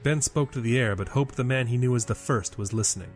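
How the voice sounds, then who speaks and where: A male storyteller reads dramatic fantasy narration. His pitch is medium, and music plays underneath. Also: quick pace.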